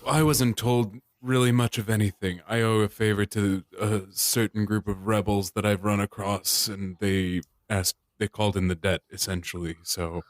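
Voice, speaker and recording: A man's voice: lowish voice, fairly even intonation, normal speed, hardly any pauses, no echo. A man playing his character in a tabletop game, haughty, fussy and self-important. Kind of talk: in-character roleplay.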